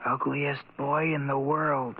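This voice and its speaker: An actor playing an eerie taunting voice from a tormented man's memory, chanting phrases in a drawn-out way for a 1970s radio drama. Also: medium pitch, little pitch movement, a little hiss or hum. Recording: muffled old radio, music behind.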